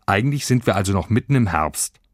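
Man acting practical mother, storybook dialogue, brisk, matter-of-fact, dry recording. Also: on the low side, animated, no echo.

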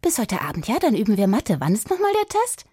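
A woman voicing a busy, affectionate mother with a breezy, hurried manner in a children's audiobook. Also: a little high, huge pitch swings, a little mellow, slightly rough, no echo, clean studio sound, heavily acted. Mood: hurried, caring. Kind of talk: children's audiobook character acting.

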